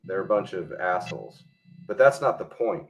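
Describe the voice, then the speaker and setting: A man's voice: sits low, expressive, normal speed. A man talking as a guest on a talk show, arguing bluntly and emphatically, swearing freely. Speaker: guest historian and filmmaker. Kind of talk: casual opinionated discussion.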